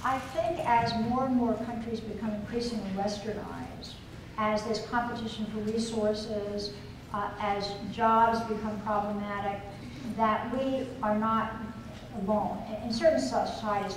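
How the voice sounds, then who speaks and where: Older woman, keynote speaker, halting with fillers, echoey hall, distant mic, noisy. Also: medium pitch, steady tone. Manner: thoughtful, hesitant with many fillers. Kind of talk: lecture and audience Q&A. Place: large conference hall.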